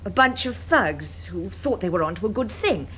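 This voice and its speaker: A woman's voice: medium pitch, lively intonation, moderate pace, fairly bright tone, slight background noise, close to an everyday voice. A woman acting in a radio drama as a defiant, guarded young Englishwoman with a clipped, evasive manner.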